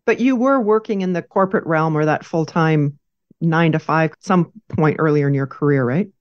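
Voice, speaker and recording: A woman's voice: sits low, normal speed, lo-fi sound. A woman hosting a podcast, reading an upbeat scripted introduction and then asking interview questions. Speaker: podcast host.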